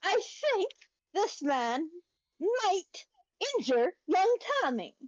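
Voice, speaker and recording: A high female voice with very lively pitch and a slow pace, on a dull lo-fi mic. She is a tabletop game player chatting and roleplaying casually. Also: dry recording.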